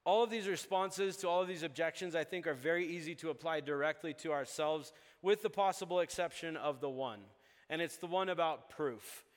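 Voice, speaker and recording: A man's voice: a little high, fairly quick. A man who grew up in Uganda, preaching a sermon and acting out dialogue with little chuckles. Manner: animated, acts out dialogue with chuckles. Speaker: pastor. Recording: long hall reverb.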